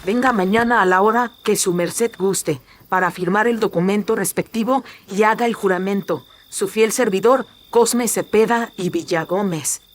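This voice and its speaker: A man's voice: normal speed, few pauses. A man voicing an anxious but brave young noblewoman in a horror radio drama, earnest and melodramatic, through a voice filter. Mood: anxious, desperate. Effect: pitch-shifting voice filter. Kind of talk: Spanish-language horror radio drama acting.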